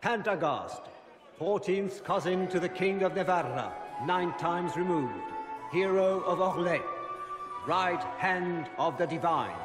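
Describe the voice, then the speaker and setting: A pompous court herald for a fantasy game. His high voice proclaims with grand ceremony, ringing through a long hall reverb over music. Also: measured pace. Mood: ceremonious. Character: pompous court herald announcing noble guests.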